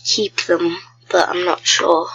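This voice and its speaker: A woman's voice: lowish voice, level tone, takes it slowly, vocal fry, fairly bright tone, slightly rough, muffled recording. A woman with a cold, chatting casually to viewers as she thinks aloud during gameplay commentary. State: has a cold, sounds ill. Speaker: gaming video creator.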